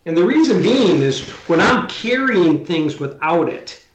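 A man's voice: high-pitched, very animated, a little slow, roomy sound, slight background noise, low-quality recording. A man in his sixties, a drywall taper, chatting casually as an interview guest and explaining his work in hands-on detail. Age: 60s.

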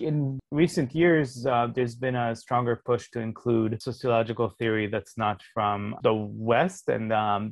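Man, measured academic explainer. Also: medium pitch, dry recording. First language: Hebrew. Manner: measured, explanatory, thinks aloud. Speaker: sociologist guest. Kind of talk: academic interview answer.

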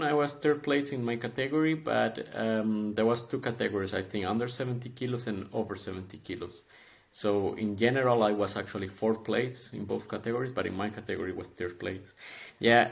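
A man's voice: mid-range voice, creaky. A man in his twenties from Costa Rica with a Costa Rican accent, chatting in a friendly way as a podcast guest who laughs often. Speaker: podcast guest, calisthenics athlete. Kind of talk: casual interview.

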